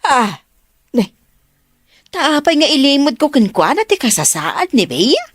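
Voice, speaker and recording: A woman acting an excitable gossip in an audio drama, with a Filipino Ilocano accent. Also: sits high, unhurried, no room sound, clean studio sound. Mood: flustered.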